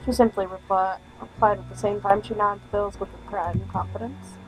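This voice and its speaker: A woman game streamer reads a visual novel aloud in a mid-pitched voice. She trips over the text and drops in casual, joking asides, with music clearly playing behind her. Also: steady tone, fairly quick, poor audio. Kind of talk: reading a visual novel aloud with offhand comments.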